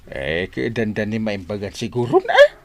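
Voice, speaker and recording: A man playing an excitable, grumbling village captain in a radio comedy drama, swinging between muttering and yelping exclamations. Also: lowish voice, moderate pace, heavily acted.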